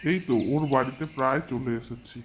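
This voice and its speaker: A man voicing a breezy, hurried husband in an amateur cartoon, self-assured and bustling. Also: medium pitch, steady tone, natural voice. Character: breezy, hurried husband inviting a friend to lunch. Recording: very noisy home recording.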